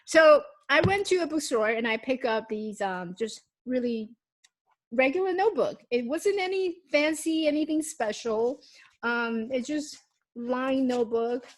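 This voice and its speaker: A woman's voice: sits high, lively intonation, measured pace. A woman in her thirties whose first language is Mandarin Chinese, talking casually in English to her channel's viewers, with frequent ums and restarts as she shows her notebooks. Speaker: YouTube host. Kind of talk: casual vlog talk.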